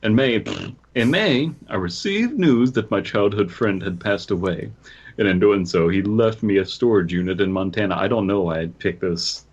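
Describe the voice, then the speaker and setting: A man with a very low voice talks in a goofy, casual way as a podcast co-host, moving between banter and reading a story aloud. The audio is a little dull and lo-fi. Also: moderate pace, breathy edge.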